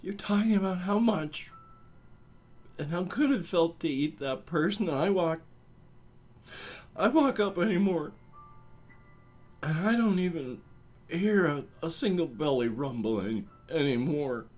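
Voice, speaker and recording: A man playing a hurt, needy person who is embarrassed by their own feelings, halting and pleading as he tells a story. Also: fairly high pitch, expressive, a little mellow, pitched above the performer's own voice. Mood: tearful, pleading.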